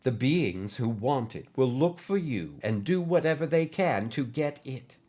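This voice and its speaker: A ghostly old female teacher from an audio story, voiced by a man. She is gently insistent and measured, with earnest appeals that rise and dip in an animated range. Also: mid-range voice, lightly acted. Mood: urgent, earnest. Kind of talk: audio story character acting.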